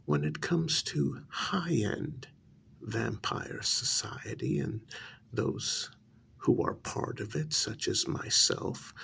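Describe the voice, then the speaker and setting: A man voicing a cruel, haughty vampire in an ASMR role-play, gravelly and breathy, often sinking into a whisper, with a theatrical, condescending delivery. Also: fairly low pitch, a little hiss or hum, full character voice. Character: cruel, haughty high-society male vampire, menacingly amused.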